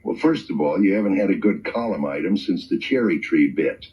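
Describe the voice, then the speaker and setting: A pushy, brisk political handler acted by a man from an old radio comedy. He speaks fairly low with animated pitch. The sound is dull and lo-fi. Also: breathy edge. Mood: persuasive. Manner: brisk, insistent. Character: pushy, image-minded male campaign manager. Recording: dull lo-fi archive radio recording.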